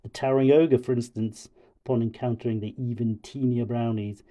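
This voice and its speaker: A man's voice: mid-range voice, steady tone, mellow, close to an everyday voice. Man putting on a pompous mock-intellectual oaf with a cold. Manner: pompous, mock-intellectual, halting over long words. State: has a cold. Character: booming pompous film-critic oaf with a shouted catchphrase. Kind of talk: mock-scholarly film review.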